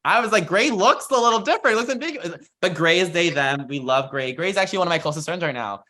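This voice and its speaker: An American man with a slightly high voice talks as a panelist on an online workshop call. He is earnest and encouraging, and he keeps his delivery informal. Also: expressive, fast talker, sharp tone. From United States, Florida and rural Texas.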